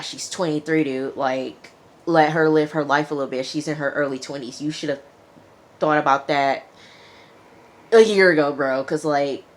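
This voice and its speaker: A woman giving a casual, conversational and opinionated reality TV recap, acting out what people said as she goes. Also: deep voice. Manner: conversational, opinionated, acts out quotes. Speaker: TV show reviewer.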